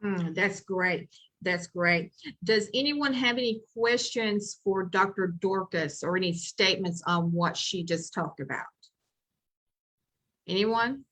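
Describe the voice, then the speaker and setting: A woman moderating a panel talk and inviting questions with short, encouraging prompts. Her voice sits at a middle pitch. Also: takes it slowly, a bit bright.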